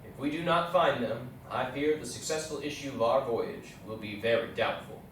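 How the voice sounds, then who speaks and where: A man's voice: mid-range voice, a bit bright, close to an everyday voice. Very echoey, formal man playing an explorer captain. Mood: grave concern. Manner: measured, formal, literary. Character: earnest male American explorer captain, reading his journal. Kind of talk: readers theatre journal recitation. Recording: echoey hall, distant mic, faint noise.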